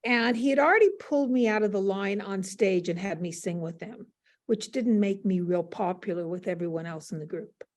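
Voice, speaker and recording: A woman's voice: medium pitch, moderate pace. An American woman in her seventies, frank and matter-of-fact, reminiscing conversationally as an interview guest on a video call. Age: 70s. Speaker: interview guest, former stage performer.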